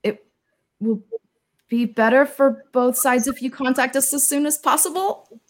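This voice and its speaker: A woman playing a menacing ransomware extortionist, giving a dramatic, drawn-out and threatening reading. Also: sits high, pitched above the performer's own voice.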